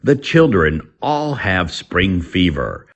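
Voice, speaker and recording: A man reading an English lesson aloud in a deliberate, instructional way, as a teacher does. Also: deep voice, very animated, highs cut off.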